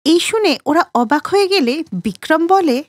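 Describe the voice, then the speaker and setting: A woman's voice: extremely high pitch, lively intonation, moderate pace, dry recording, studio quality. A woman narrating a scripted cartoon story as its storyteller.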